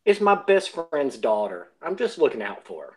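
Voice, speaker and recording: A man's voice: mid-range voice, very animated, normal speed, darkish tone. Male guest, mid-range voice, casual and hesitant, reasoning aloud in long sentences. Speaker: audio drama cast member, podcast guest. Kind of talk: casual group discussion.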